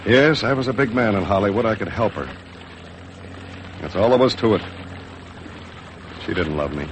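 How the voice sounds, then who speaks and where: An American actor playing a disillusioned, stern Hollywood big shot in 1950s radio drama, with a sardonic way of talking. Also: male voice, lowish voice, moderate pace, close to an everyday voice. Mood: bitter. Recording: noisy vintage 1950s radio recording.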